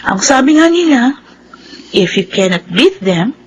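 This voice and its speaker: A young Filipino woman, scheming behind a coy, sweet front. She draws out her phrases and slips into breathy plotting asides in a radio drama, with faint ambient noise behind her. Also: on the high side, sing-song, unhurried, rough recording.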